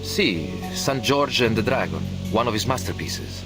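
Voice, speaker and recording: Under loud guitar music, a man plays a low-voiced priest for a game. He is courteous and grave, speaking with mild deference. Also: animated, moderate pace, close to an everyday voice. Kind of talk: visual novel voice acting. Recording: music playing loudly underneath. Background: prominent plucked guitar music.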